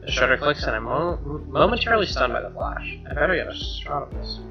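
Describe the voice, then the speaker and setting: A man doing an expressive read-aloud of a game's narration, with casual asides. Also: on the high side, a little fast, creaky. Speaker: gamer reading a visual novel aloud. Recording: roomy, dull lo-fi mic. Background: game music.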